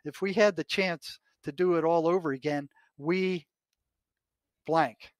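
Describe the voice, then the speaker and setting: An older American man in conversation, telling anecdotes and reading aloud from notes in a halting way, often repeating his words. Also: a little high, dry recording. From United States. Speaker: retired psychotherapist, podcast guest.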